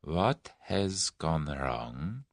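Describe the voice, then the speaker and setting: A man doing a soft, sibilant conspiracy chief. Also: lowish voice, very animated, a little slow, no echo, clean studio sound. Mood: menacing. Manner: quiet, silky and menacing. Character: soft-spoken sinister Russian conspiracy chief.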